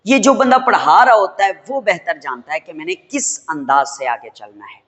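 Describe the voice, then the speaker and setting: A hoarse man, teaching a chemistry lecture and calling his students children in a fatherly way. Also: high-pitched, sing-song, normal speed, a bit bright, long reverb tail. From Pakistan. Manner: fatherly, addresses students as children, mixes English terms. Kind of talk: classroom lecture.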